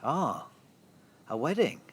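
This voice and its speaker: An actor playing a gentle, inquisitive man in a bittersweet comic audio play. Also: on the high side, big pitch swings, slow pace, husky, dry recording, exaggerated voice. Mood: curious guessing.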